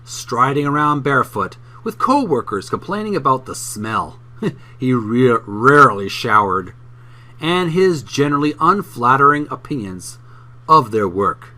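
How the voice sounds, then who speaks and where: Male channel host, mid-pitched and animated, emphatic read-aloud narration with the odd stumble. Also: measured pace. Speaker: host reading a book aloud. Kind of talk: book narration for a video channel.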